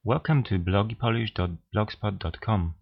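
A man co-hosting a scripted beginner language lesson podcast, steady and explanatory as he prompts listeners, on a slightly dull lo-fi recording. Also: lowish voice, moderate pace, creaky. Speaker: language teacher, podcast co-host.

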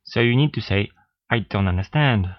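A man co-hosting a scripted beginner language lesson podcast, steady and explanatory as he prompts listeners, on a slightly dull lo-fi recording. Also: on the low side, moderate pace. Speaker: language teacher, podcast co-host.

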